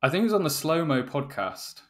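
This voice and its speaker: A man's voice: a little high, sing-song, fairly quick. A man hosting a podcast, introducing and interviewing in a conversational style. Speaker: podcast host.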